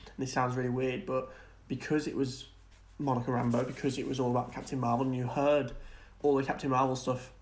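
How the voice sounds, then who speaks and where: A man with a medium-pitched voice talks as a podcast co-host. His delivery is informal and enthusiastic, in the style of a casual chat about screen entertainment. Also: little pitch movement, brisk, hardly any pauses. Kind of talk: casual film and TV discussion.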